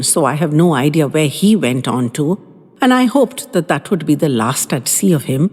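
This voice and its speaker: Woman telling a story, mellow voice that swoops up and down a lot. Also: fairly low pitch, slightly rough. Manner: expressive, lively storytelling read. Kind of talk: scripted first-person story narration. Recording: long reverb with faint guitar music.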